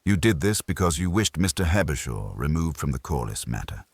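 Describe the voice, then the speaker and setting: A man with a husky voice voicing an imperious, pedantic detective in an audiobook, formal and pompous. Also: extremely low pitch, big pitch swings, fairly quick, dry recording, full character voice. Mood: commanding. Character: imperious, pedantic male detective, sparing and dry.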